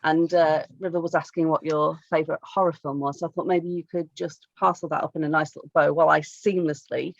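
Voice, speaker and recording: A woman's voice: deep voice, level tone, a little fast, gravelly, few pauses, dry recording. A woman co-hosting a podcast from home, reading listener questions and teasing her co-host in wry, chatty talk. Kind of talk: casual chat. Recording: dull, dry remote call audio.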